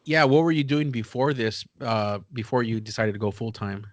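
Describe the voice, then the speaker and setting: A man's voice: medium pitch, steady tone, normal speed, no echo. Male interview host, conversational and informal, mid-range voice in a dry, close sound. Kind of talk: casual interview chat.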